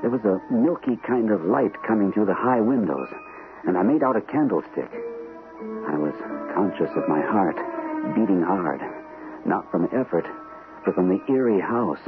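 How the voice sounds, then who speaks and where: A man's voice: deep voice, expressive, moderate pace, over music. An actor playing a haunted army captain narrating his ordeal with suspense in a vintage radio drama. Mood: tense suspense. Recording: narrow vintage radio recording.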